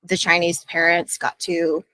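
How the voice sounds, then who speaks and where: A woman's voice: lowish voice, little pitch movement, unhurried, bright, forceful tone, low-quality recording. A young adult woman speaking as an interview guest, earnest and thoughtful, with frequent fillers. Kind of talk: reflective interview conversation.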